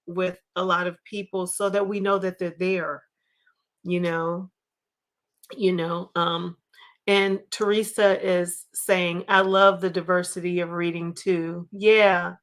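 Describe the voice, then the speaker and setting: Female host, 50s, mid-pitched voice, chatty and enthusiastic, casual book-club style conversation. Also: fairly bright tone. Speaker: panel host. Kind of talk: casual book community chat.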